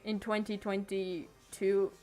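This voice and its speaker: A teenage girl talks loosely into a desk mic at home, her thoughts stopping and starting as she circles back on herself. Her voice sits at an ordinary middle pitch for a young woman. Faint music plays quietly underneath. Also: flat delivery, slow. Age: late teens. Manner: hesitant, many restarts and trailing thoughts. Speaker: streamer. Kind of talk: casual rambling stream chat. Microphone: desk microphone.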